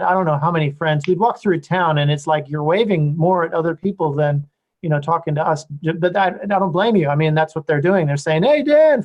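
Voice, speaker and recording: A man's voice: sits high, a little mellow. A man interviewing a guest, asking questions in a conversational, rambling way and rephrasing them as he goes.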